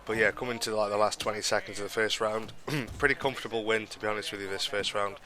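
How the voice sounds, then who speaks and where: Low, flat-voiced stuffy man. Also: fast. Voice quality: nasal. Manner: rambling, many ums and restarts. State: stuffy nose from a cold. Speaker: gaming commentator. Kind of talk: casual gameplay commentary.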